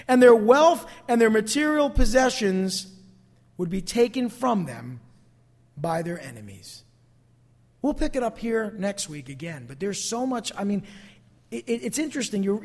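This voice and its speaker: High-voiced male preacher in a reverberant hall. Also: a little mellow. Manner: emphatic, conversational, repeats phrases for emphasis. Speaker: pastor preaching. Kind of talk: sermon to a congregation, closing in prayer.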